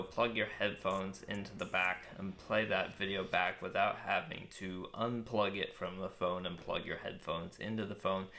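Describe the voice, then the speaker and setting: A young man with a very low, deep voice that has a gravelly edge to it. He talks in a relaxed, thoughtful way, pausing on little ums and uhs as he reviews his gear outdoors. His speech has an easygoing vlog feel. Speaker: vlogger reviewing a microphone. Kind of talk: casual product review. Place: outdoors with birds nearby. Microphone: shotgun mic on phone.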